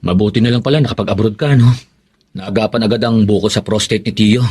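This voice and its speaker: A man's voice: on the low side, fairly even intonation, quick pace, a little mellow, husky. An actor playing a friendly, teasing older male cousin in a Filipino radio drama.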